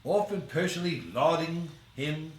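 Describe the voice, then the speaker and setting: A man's voice: on the high side, normal speed, a little hiss or hum. A man reading a short story aloud in a theatrical, declamatory storybook style. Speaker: author reading his own short story. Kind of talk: dramatic storybook narration. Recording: echoey room, distant from mic.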